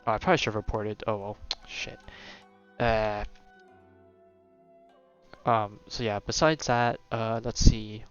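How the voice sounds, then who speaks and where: Low-voiced man casually streaming a game. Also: fairly even intonation, a little slow, long pauses, clean tone, muffled recording. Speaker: video game live streamer. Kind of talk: casual gameplay commentary, thinking aloud. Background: video game music.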